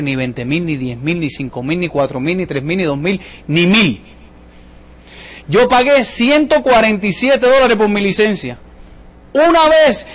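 A man with a Cuban accent giving an emphatic, colloquial motivational sales talk to an audience, driving points home with repetition and rhetorical questions. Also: high-pitched. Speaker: business seminar presenter, salesman.

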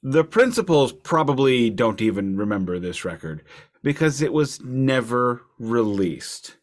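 Man, podcast host, medium pitch, animated intonation, wry, casual music talk. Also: unhurried.

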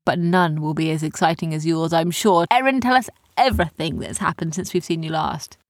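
A woman voicing earnest, excitable young children in an adventure story, with an eager, questioning manner. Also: low voice, brisk, no room sound, clean studio sound, close to an everyday voice. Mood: polite, eager.

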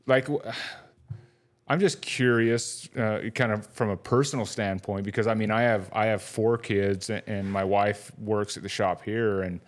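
Host: man in his 40s, lowish voice, easygoing and chatty, swears casually. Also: fairly even intonation, unhurried. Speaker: podcast host. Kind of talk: casual interview chat.

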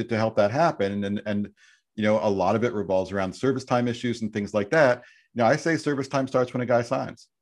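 A man's voice: lowish voice, a little mellow. A man as a podcast guest, talking earnestly and restarting his sentences. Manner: earnest, repeats words when starting a point. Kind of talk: casual podcast chat.

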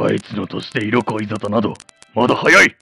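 Actor as a gruff, creaky-voiced blustering father. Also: on the low side, fairly quick, sharp tone, soft background music, heavily acted. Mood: grumpy. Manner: blustering, barking orders, easily embarrassed. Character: gruff, short-tempered old-fashioned Showa family father. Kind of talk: radio drama acting.

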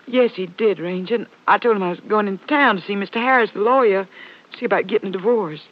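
An actress as a tense, muffled ranch wife. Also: female voice, mid-range voice, fast, lightly acted. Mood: defensive. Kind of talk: 1950s radio drama acting. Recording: muffled old radio recording.

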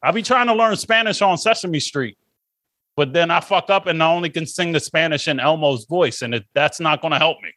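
Bright, jokey podcast co-host man. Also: on the high side, brisk, dry recording. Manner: profane, jokey, does silly voice bits. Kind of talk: casual chat.

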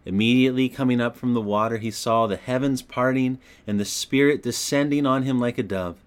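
Man narrating scripture, expressive storyteller delivery. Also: mid-range voice, brisk, smooth.

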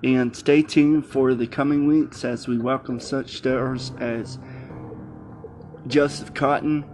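A man's voice: medium pitch, fairly even intonation, slow, a little mellow, soft background music. A man hosting a podcast, addressing listeners casually in a scripted outro. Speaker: podcast host.

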